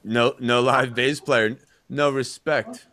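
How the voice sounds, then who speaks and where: A man's voice: mid-range voice, fairly even intonation, slow, bright, forceful tone, dry recording. Chatty man with a dry, close sound. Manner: rambling, chatty, many fillers. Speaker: podcast co-host. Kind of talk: casual chat.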